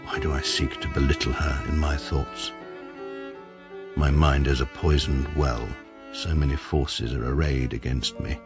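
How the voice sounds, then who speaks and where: An actor with a British accent playing a stiff, pedantic upper-class lawyer, narrating and speaking formally and precisely. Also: male voice, extremely low pitch, steady tone, measured pace, over music. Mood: pompous narration. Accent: British English. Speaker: narrator and lead. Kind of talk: radio comedy drama.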